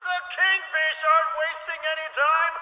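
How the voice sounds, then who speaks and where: Super high hyped up male arena announcer for a game, swoops all over, echoey pa sound. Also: flat delivery, narrow, tinny audio. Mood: gleeful, hyped. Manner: theatrical, drawn-out delivery with punning showmanship. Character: exuberant, playful game show arena announcer. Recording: echoey arena PA, band-limited, faint music.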